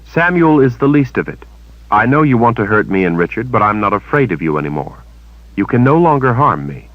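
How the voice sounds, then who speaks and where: A defiant young woman throws out sharp, lively accusations, as a male narrator lifts his voice for her. Her lines climb high and animated. The recording is dry and a little dull. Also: a little fast, no room sound, lightly acted. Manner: indignant, rising.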